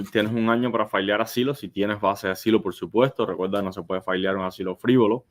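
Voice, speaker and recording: Lowish-voiced male immigration lawyer. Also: steady tone, fairly quick. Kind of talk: live legal advice, explanatory.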